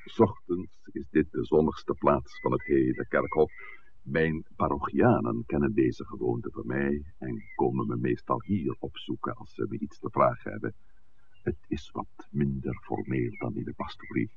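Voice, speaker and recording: An actor playing a dignified, evasive village priest in a 1960s Dutch radio drama, speaking formally and deliberately. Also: male voice, very deep voice, steady tone, vocal fry. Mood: measured, evasive. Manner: formal, deliberate, wordy, hesitant at times. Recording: muffled, noisy old radio recording.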